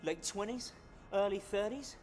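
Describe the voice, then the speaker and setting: A man's voice: sits high, measured pace. An actor playing a nervous male hitman in a video game cutscene, evasive and rambling. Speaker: hired gunman in a game cutscene. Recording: loud game music under the voice.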